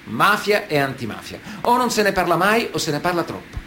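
An actor playing a stern, ironic chronicler, narrating a radio drama in clipped phrases with dramatic pauses. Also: male voice, fairly high pitch, very animated, bright, forceful tone, slight background noise. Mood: grave, declamatory.

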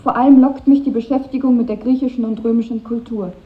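A woman's voice: a little high, steady tone, fast talker, natural voice. An actress playing an earnest, idealistic schoolgirl in her final year, speaking precisely in a 1950s German radio drama. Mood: earnest, eager. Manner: earnest, precise reading aloud of an essay. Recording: phone-like narrow-band vintage radio recording.

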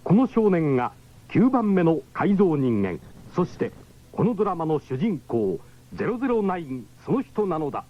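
A man's voice: fairly high pitch, very animated, normal speed. A man narrating a 1970s Japanese radio drama in a dramatic scripted style. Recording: muffled 1970s radio recording, faint noise.

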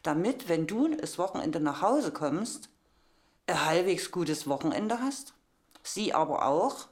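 A woman's voice: medium pitch, darkish tone. A middle-aged woman giving a frank personal account in a colloquial, plain-spoken manner.

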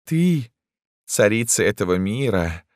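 A man voicing a lecherous, pompous emperor in an audiobook, cooing and grandiose, with drawn-out exclamations. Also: on the low side, sing-song, darkish tone, dry recording, studio quality, pitched above the performer's own voice. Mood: seductive. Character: theatrical lecherous emperor, wheedling, grandiose, gallant and menacing.

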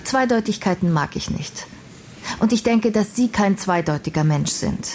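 A woman's voice: mid-range voice, animated, normal speed, darkish tone, slight background noise, natural voice. An actress playing a blunt, earnest young intellectual woman in a radio crime drama, speaking precisely and formally. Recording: music playing behind the voice.

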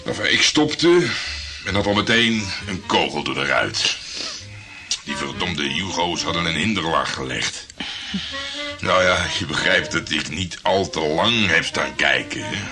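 An actor playing a tough, boastful private detective in a Dutch radio play, swaggering and swearing in colloquial speech. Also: male voice, low-pitched, expressive, a little slow, music in the background.

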